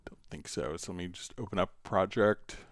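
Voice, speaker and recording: A man hosting a tutorial stream, casually talking through each step of a software demonstration as he works. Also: sits low, fairly quick, no room sound, studio quality. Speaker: host answering viewer questions on a software tutorial stream.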